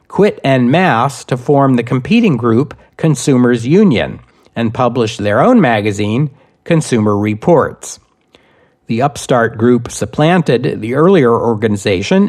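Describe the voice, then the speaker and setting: A man narrating scripted history as a podcast host, in measured, deliberate phrasing. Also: mid-range voice, darkish tone.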